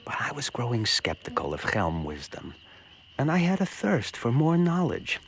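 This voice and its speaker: An actor playing a naive, earnest village simpleton who narrates his own folk tale in an animated short, with storytelling pauses. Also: medium pitch, a little hiss or hum. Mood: earnest, musing. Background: music.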